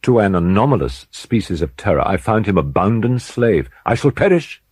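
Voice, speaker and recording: Male audiobook reader for gothic horror: low, husky voice, solemn and theatrical, recorded dry. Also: expressive, darkish tone, no room sound. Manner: solemn, deliberate, theatrical phrasing. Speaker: audiobook narrator. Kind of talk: scripted dramatic narration of gothic fiction.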